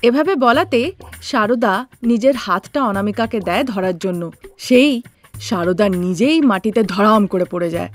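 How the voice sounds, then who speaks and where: A woman's voice: mid-range voice, unhurried, soft background music. A woman narrator telling a cartoon story in a scripted storytelling style.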